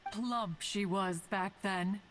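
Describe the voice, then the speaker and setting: A stately, ancient immortal, lofty and archaic. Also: female voice, medium pitch, slow, a bit bright, slight background noise. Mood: fond reminiscing. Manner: archaic, lofty, formal diction. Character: ancient, stately, teasing female immortal bird guardian. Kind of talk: video game voice acting. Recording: game music behind.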